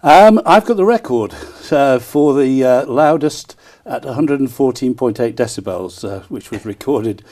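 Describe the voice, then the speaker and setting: Male interview guest, town crier type: soft-edged mellow tone, middling pitch, keen promoter with frequent ers. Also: lively intonation, unhurried. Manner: enthusiastic, frequent hesitant ers. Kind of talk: promotional broadcast interview answers.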